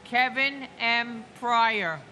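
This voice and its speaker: Solemn high-voiced woman in her sixties. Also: pushed and bright, a little hiss or hum. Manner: slow, solemn and emphatic. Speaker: victim's family member reading names. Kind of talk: memorial ceremony name reading and speech.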